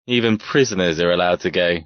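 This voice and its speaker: A woman's voice: extremely low pitch, fairly quick, dry recording, dull audio, voice pushed down. A woman voicing a guarded, sardonic young man with clipped speech and casual British slang, as a character in an audiobook. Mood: wry. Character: guarded young male horseman, sardonic, tightly wound.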